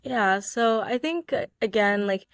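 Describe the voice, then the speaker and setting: A woman's voice: a little high, animated, a little slow, clear, resonant tone, no room sound, clean studio sound. A young woman, a student guest from Georgia in the United States, answering interview questions casually with many "like"s and "um"s. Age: young adult. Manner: chatty, many fillers like "like" and "um".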